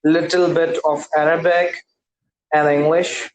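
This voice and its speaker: A man's voice: fairly high pitch, level tone. A man whose first language is Urdu, an English learner speaking carefully and hesitantly in a casual practice conversation over a video call. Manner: careful, hesitant, many pauses and affirmations. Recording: roomy video call audio.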